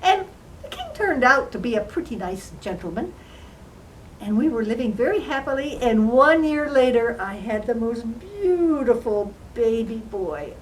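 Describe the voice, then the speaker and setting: Woman as expressive, slow-talking storybook queen. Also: a little high, rough recording. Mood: fond recounting. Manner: dramatic, expressive, drawn-out emphasis. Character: young fairy-tale queen and mother, emotional and earnest. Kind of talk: dramatized first-person storytelling in character. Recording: faint background noise.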